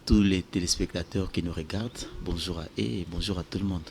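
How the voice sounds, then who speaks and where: A man's voice: low voice, fairly even intonation, a little fast, creaky, a little mellow, slight background noise. A man with a Congolese French accent explaining as a guest organiser in a television interview. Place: television studio set.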